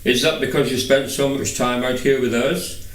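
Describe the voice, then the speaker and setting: A man's voice: medium pitch, steady tone, moderate pace, lightly acted. A man voicing a brusque, impatient older knight who runs a flying circus, in an audiobook. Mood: brisk questioning. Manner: brusque, questioning, dismissive. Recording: echoey room, distant microphone.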